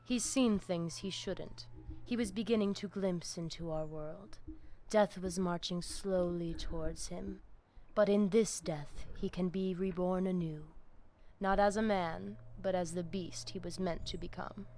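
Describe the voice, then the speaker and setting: Solemn vampire leader. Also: female voice, lowish voice, fairly even intonation, a little slow, mellow, a little hiss or hum. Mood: solemn, persuasive. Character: commanding, scheming female vampire pack leader. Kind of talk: video game voice acting.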